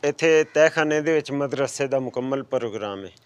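A man's voice: medium pitch, moderate pace, clean tone. A man in his thirties from Pakistan with a Punjabi accent, casually explaining his building project on site. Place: outdoors at an unfinished brick building site. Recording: outdoor location sound with background noise.

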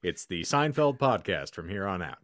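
A man's voice: low voice, very animated, moderate pace, fairly bright tone, no echo. A man in his thirties hosting a podcast, with a theatrical, wry delivery, recorded close on a microphone in a home room. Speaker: podcast host. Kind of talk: reading a scenario aloud, podcast banter. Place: small home room, indoors.

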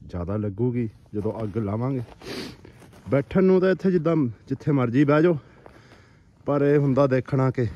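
A man with a Punjabi accent talks casually to viewers in an outdoor vlog. His voice sits at an average pitch. There is some faint noise in the phone-camera recording. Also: lo-fi sound. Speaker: vlogger. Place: outdoors in a snowy forest. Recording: noisy outdoor phone camera audio, clicks.

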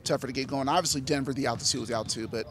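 A male reporter asking a basketball player quick, conversational questions. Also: medium pitch, a bit bright. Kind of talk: press question. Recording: crowd chatter behind.

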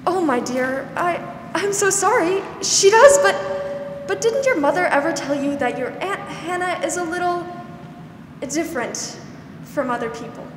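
A young woman acting a gentle, weary, protective older aunt in a 1940s-style radio drama, soothing and hesitant. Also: extremely high pitch, animated, measured pace. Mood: hesitant, sorrowful. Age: young adult. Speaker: student actor. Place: school theatre stage. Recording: echoey hall, noisy background.

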